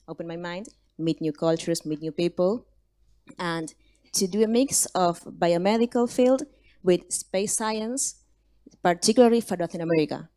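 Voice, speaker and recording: A young woman from Argentina, giving a lively self-introduction at a ceremony, laughing now and then. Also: lowish voice. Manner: lively, laughing, restarts words. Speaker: incoming student.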